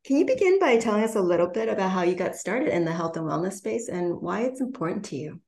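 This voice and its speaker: A woman's voice: medium pitch, very animated, fast talker, creaky, darkish tone. A woman hosting a casual interview, chatty and upbeat, asking questions and sharing her own stories. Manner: chatty and upbeat, laughs easily. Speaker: host.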